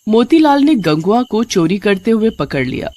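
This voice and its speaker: A woman's voice: mid-range voice, very animated, moderate pace, dark tone. Woman narrating a scripted moral tale. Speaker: story narrator.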